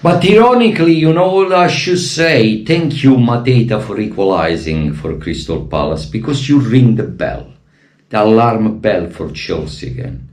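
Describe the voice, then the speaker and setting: A man from Italy with an Italian accent, giving emotional, impassioned commentary as a football fan vlogger and bursting into shouted appeals, his voice wearing thin. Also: medium pitch, expressive, moderate pace. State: losing his voice.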